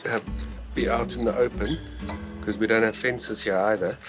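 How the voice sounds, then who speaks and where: A middle-aged man and wildlife filmmaker casually recapping his evening at an outdoor bush campsite at night, talking in a relaxed, chatty way with easy pauses and fillers. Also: on the low side, music in the background.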